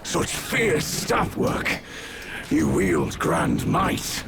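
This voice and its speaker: A man's voice: fairly high pitch, huge pitch swings, extremely slow, vocal fry, noisy background, heavily acted. An actor playing a haughty, fierce monster who serves a king in a video game, with theatrical, boastful, archaic speech. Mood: admiring, coaxing.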